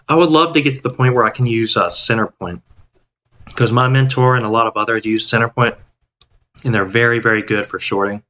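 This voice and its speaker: A man's voice: medium pitch, normal speed, long pauses, pushed and bright. A man in his thirties chatting casually on a day-trading live stream from home, rambling with restarts and fillers. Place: home room, indoors. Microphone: headset.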